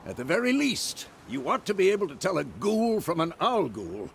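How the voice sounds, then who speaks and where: A gravelly old witcher mentor. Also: high voice, moderate pace, a little hiss or hum, full character voice. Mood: lecturing. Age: older. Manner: stern, grumbling, lively delivery. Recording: game cutscene with loud music.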